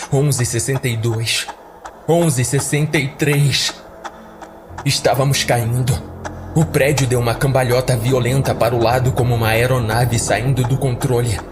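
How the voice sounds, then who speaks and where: A Brazilian man giving dramatic first-person narration of a horror story as a podcast narrator. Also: mid-range voice. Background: music.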